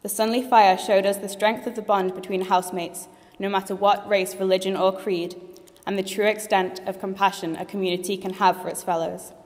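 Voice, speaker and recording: A woman's voice: medium pitch, flat delivery, a little fast, hardly any pauses. Earnest teenage girl giving a speech in a hall. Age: late teens. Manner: earnest and heartfelt, with light jokes. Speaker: graduating student speaker. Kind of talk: formal graduation speech. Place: large assembly hall. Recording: long reverb of a large hall.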